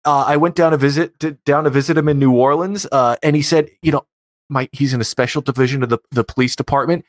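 A man's voice: medium pitch, steady tone, fast talker, no room sound. A man co-hosting a podcast, telling casual anecdotes in a wry, self-deprecating way. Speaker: podcast co-host.

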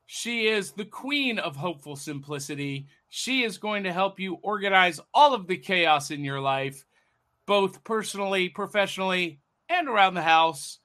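A man's voice: high voice, lively intonation, normal speed, bright, forceful tone. A man hosting a casual podcast over a video call, upbeat and giving his guests big buildups. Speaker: podcast host.

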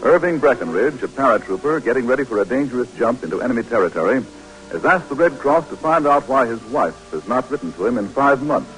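A polished male radio announcer from the 1940s, speaking in a formal, lively sing-song over faint music. His delivery sounds scripted and measured. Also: medium pitch, rough recording.

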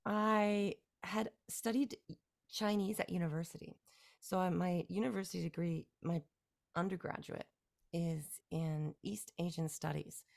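A woman from Canada in her forties, a musician guest talking through her career in a relaxed, rambling way with fillers and restarts. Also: on the low side, a little slow, creaky. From Canada, near Toronto. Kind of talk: casual interview conversation.

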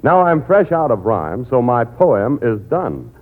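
A man's voice: mid-range voice, very animated, a little slow. An American man as a 1940s radio announcer, reading rhymed advertising verse in a sing-song way. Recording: tinny old radio broadcast.

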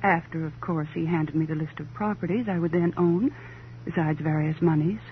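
A woman's voice: low voice, normal speed, lightly acted. An actress as a rich, flippant divorcée from a vintage radio detective show. She is arch and sardonic, with polished society phrasing over wounded pride. The recording is narrow and tinny, with a faint hum. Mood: arch, breezy. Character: brittle, wry, wealthy divorced woman, flippant and proud. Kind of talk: 1950s radio crime drama acting. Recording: muffled old radio recording, hum and hiss.

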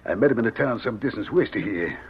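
An actor playing a weary, wary middle-aged drifter in an old radio western, with a husky voice and folksy frontier speech. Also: male voice, fairly low pitch, animated, fast. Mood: guarded. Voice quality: husky, gravelly. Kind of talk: 1940s radio western acting. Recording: muffled old radio recording.

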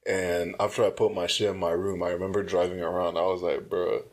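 A young man from California tells casual college stories, laughing as he goes. His voice is on the low side for a man, easy and unhurried. He sounds like a student chatting with a friend on a podcast. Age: young adult. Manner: relaxed storyteller, laughs at his own stories. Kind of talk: casual chat.

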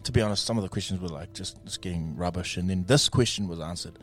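A man's voice: sits low, fast talker, soft background music. A man hosting a morning radio show, teasing and laughing often in casual banter.

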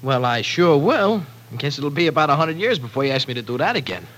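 A man's voice: fairly high pitch, sing-song, fast, fairly bright tone. An actor playing a brash, slangy young tough guy in an old-time radio drama, blunt and eager. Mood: cheeky, surprised. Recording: vintage radio broadcast, slightly rough.

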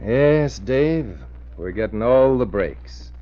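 A man's voice: mid-range voice, animated, takes it slowly. An actor playing a smug, scheming gangster boss in an old radio drama, talking in cocky tough-guy slang. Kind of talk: 1940s radio acting. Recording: dull old radio recording.

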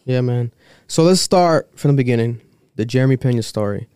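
Animated man hosting a casual interview. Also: mid-range voice, fairly quick, clear, resonant tone, no echo, studio quality. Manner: hypes up the guest, animated and laughing. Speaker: podcast host.

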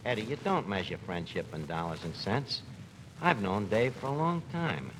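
An actor playing a cocky, gullible New York tavern manager in a radio comedy, with a New York accent and mangled pompous phrasing, on an old broadcast recording. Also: male voice, fairly low pitch, big pitch swings, normal speed, noisy background. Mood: earnest, defensive. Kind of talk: 1940s radio comedy acting.

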